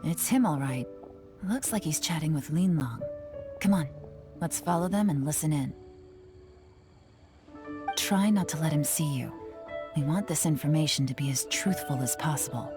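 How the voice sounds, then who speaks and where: A cool spymaster in a fantasy game, her voice low and even as she gives calm, dry orders. Faint music runs beneath. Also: normal speed, long pauses. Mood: sly. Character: cool, composed female spy leader, adult. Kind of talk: video game voice acting.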